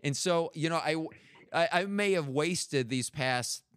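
A middle-aged man hosting a podcast, asking reflective, halting questions in casual chat. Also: fairly high pitch, animated, a bit bright, clean tone, no room sound, studio quality. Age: late 40s to 50s. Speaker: podcast host.

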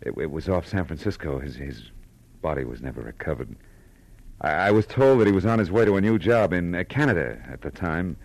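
An actor playing a tough but compassionate private detective, terse and weary, in 1940s radio noir. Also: male voice, sits low, expressive, fairly quick. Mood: gentle, hesitant. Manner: terse, weary, hesitant when gentle.

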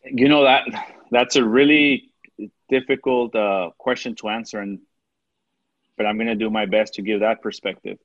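A man with a Mexican accent speaks in an earnest, halting way, circling back and restarting as he gathers his thoughts. His voice sits in a middle register. The sound is very dry and a little dull, like a close podcast mic with the top end rolled off. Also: on the low side, fairly even intonation, fairly bright tone, no room sound. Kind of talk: casual chat.

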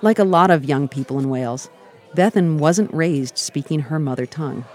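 An American woman giving scripted travel narration in a calm, reflective storytelling manner. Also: low-pitched, moderate pace, some chatter behind. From United States.

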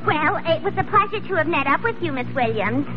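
A woman's voice: high-pitched, lively intonation, a little fast. An actress playing a vain, brassy tavern woman with a New York accent and affected gentility in 1940s radio comedy. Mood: huffy, vain. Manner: affected gentility, brash, sassy. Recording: muffled old radio broadcast, music.

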